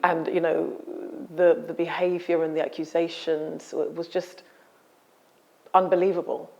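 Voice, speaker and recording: A woman author speaking in a measured, articulate and solemn way about personal experience. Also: low voice, level tone, creaky, dark tone, muffled recording. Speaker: author and guest. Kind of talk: reading a memoir aloud, then interview talk.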